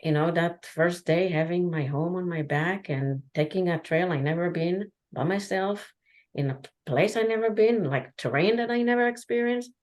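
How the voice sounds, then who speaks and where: Low-voiced woman, Hebrew native. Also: darkish tone. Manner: halting, frequent restarts and fillers. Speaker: podcast guest. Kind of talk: candid conversational storytelling.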